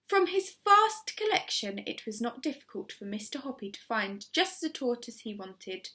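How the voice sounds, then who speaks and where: A woman narrates a children's storybook as a teacher would for a class. Her voice is somewhat high and rises and falls widely. She phrases things in a measured, dramatic way, stressing important words. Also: sing-song, sharp tone. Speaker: teacher reading aloud to schoolchildren.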